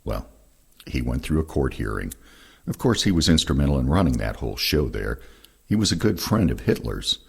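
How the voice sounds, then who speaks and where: An older man with a gravelly, husky voice reading first-person war recollections aloud. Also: very deep voice, big pitch swings, moderate pace. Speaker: reader of veterans' oral histories.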